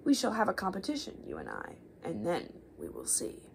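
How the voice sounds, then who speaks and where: A woman voicing a proud, icy Greek goddess from a children's myth, soft, measured and haughty, partly whispered. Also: fairly low pitch, wildly swooping pitch, creaky, full character voice, rough recording.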